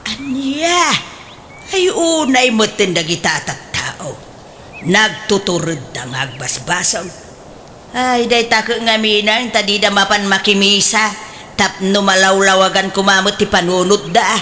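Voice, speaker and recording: A woman's voice: medium pitch, measured pace, pushed and bright, a little hiss or hum. A woman playing a pious, nagging aunt in an Ilocano radio comedy drama, speaking emphatically with an Ilocano accent. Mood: dismayed, gossipy.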